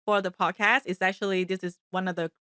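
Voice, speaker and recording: A woman from Vietnam with a Vietnamese accent, a native Vietnamese speaker who is a coffee shop owner and guest on a casual interview, talking haltingly with many "uh"s and restarts. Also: medium pitch, flat delivery, pushed and bright, clear, resonant tone, no echo, clean studio sound. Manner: halting, non-native grammar, frequent "uh" and restarts.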